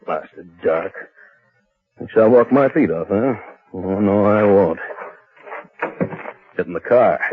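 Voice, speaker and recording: A man's voice: low voice, a little hiss or hum. An actor playing a pompous, cowardly, bad-tempered rich man, blustering and sputtering in old radio horror style. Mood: grumbling. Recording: muffled, narrow-band old radio recording.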